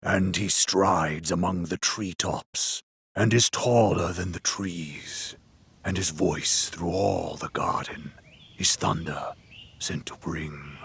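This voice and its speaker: Deep, gravelly villain general. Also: male voice, a bit bright, dry recording, exaggerated voice. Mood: ominous, reciting. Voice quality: gravelly, husky. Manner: slow, ominous, theatrical delivery. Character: menacing male villain general, calm, grandiose, poetry-reciting. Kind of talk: video game cutscene acting.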